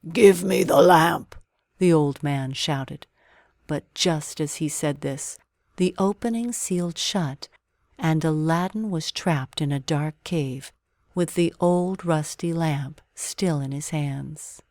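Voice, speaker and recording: Woman, lowish voice, unhurried pace, expressive bedtime storyteller, scripted children's narration. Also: no echo.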